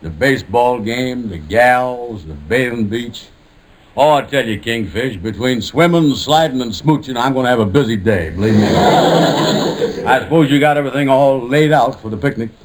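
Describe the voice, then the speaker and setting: A medium-pitched, easygoing lodge brother acted by a man from an old radio sitcom. He speaks bluntly in folksy, ungrammatical phrases sprinkled with pompous big words. The vintage recording carries a little noise. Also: moderate pace, lightly acted. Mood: eager, cheerful. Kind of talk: 1950s radio sitcom acting. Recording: slightly noisy 1950s radio recording.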